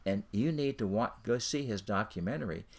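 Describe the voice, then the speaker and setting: Guy coaching straight to the listener, creaky voice, pitch moves around a lot, says stuff twice. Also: animated, brisk. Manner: repeats phrases, speaks directly to the listener. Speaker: ministry and business coach. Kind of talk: informal instructional talk to viewers.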